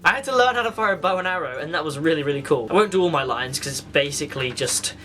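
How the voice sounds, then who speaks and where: A man's voice: on the high side, lively intonation, fast, pushed and bright, slight background noise. A teenage young man, chatting casually and enthusiastically as he tells anecdotes for a vlog. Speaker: vlogger.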